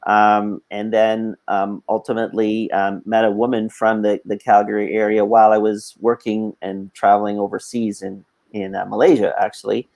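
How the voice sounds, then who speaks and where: A man's voice: on the low side, flat delivery, normal speed, creaky, hardly any pauses, a little mellow. A man with a Canadian accent, a guest on an interview, telling his story in a casual, conversational way. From Toronto, Canada.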